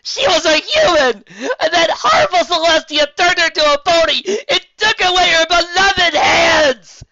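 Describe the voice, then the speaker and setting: Indignant unicorn mare, very high, shrieking, ranting, sharp, overwrought, comic storybook. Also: animated, moderate pace, hardly any pauses, no echo, highs cut off, heavily acted. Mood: hysterical outrage. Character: hot-headed, hand-obsessed female unicorn, hysterically indignant. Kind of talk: acted comic fan-story voice.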